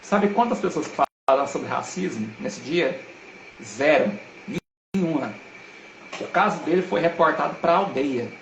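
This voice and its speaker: A man's voice: a little high, expressive, unhurried, a little hiss or hum. A man talks as a guest on a live stream, earnest and emphatic, with a lot of slang. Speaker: guest speaker. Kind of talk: casual live-stream talk. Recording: roomy echo.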